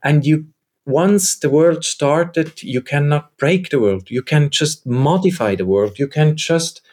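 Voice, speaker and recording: This man speaks English as a second language, his first being German, and talks very slowly. His voice sits a bit high for a man. He thinks aloud in a searching, reflective way, explaining his theater work in an interview. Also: darkish tone. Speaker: theater maker and game co-creator. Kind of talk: conversational interview answer.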